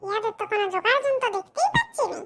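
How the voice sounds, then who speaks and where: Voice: extremely high pitch, big pitch swings, very fast, heavy background noise. A performer voicing a cheeky, grumbling village boy with a rural West Bengal accent in a comedy cartoon. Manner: brash, grumbling colloquial banter. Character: cheeky, mischievous poor village boys with squeaky voices.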